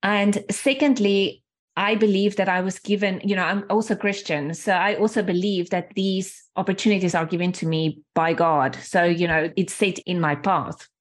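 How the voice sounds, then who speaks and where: A woman's voice: mid-range voice, fairly even intonation, moderate pace. Candid South African woman, native Afrikaans speaker. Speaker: interview guest. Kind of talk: reflective personal conversation.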